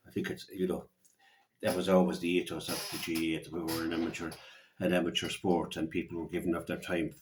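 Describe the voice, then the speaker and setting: Male local radio sports pundit, Irish accent, very low voice, halting off-the-cuff delivery with repeated words. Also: level tone, background noise. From Ireland. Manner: halting, repeats words as he gathers his thoughts. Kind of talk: unscripted sports commentary and opinion.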